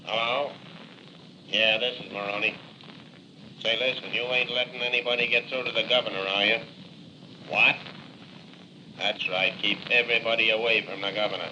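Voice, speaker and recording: An actor playing a cocky, menacing gangster with a tough, slangy American manner, in 1940s radio drama style. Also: male voice, lowish voice, fairly even intonation, normal speed, long pauses, close to an everyday voice. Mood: threatening, bossy. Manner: tough slangy talk, sneering. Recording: dull, noisy 1940 radio recording.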